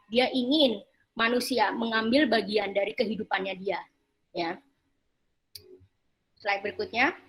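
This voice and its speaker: A woman pastor preaching a sermon, haltingly repeating and rephrasing her phrases as she explains scripture. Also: on the high side, sharp tone, poor audio. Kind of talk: sermon with slides and scripture reading.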